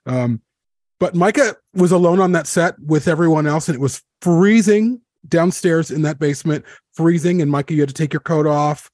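A man's voice: fairly high pitch, normal speed, a little mellow, no echo, clean studio sound. A man hosting a casual roundtable, telling anecdotes in an informal, emphatic way. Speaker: podcast host.

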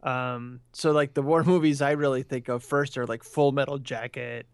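A man's voice: mid-range voice, fairly even intonation, moderate pace, clean tone, no echo. A man in his thirties co-hosting a podcast, talking through films in a thoughtful, hedging way and asking probing questions. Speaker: podcast co-host. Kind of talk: casual film discussion.

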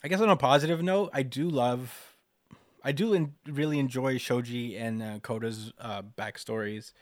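A man's voice: medium pitch, normal speed, no room sound, clean studio sound. A man in casual analytical discussion as a podcast co-host, speaking thoughtfully and searching for words as he goes.

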